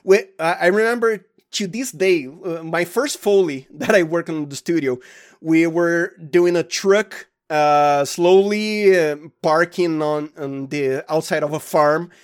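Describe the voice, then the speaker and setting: Brazilian male guest, Portuguese native, slightly high voice, halting conversational delivery with frequent restarts. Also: clear, resonant tone. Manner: halting, restarts phrases often, chuckles. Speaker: sound designer, interview guest. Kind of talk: casual interview chat.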